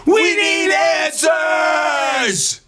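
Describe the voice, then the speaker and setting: A man's voice: very high voice, lively intonation, drawn-out words, pushed and bright, shouting, some chatter behind. A man co-hosting a children's read-aloud, narrating in a playful, theatrical way.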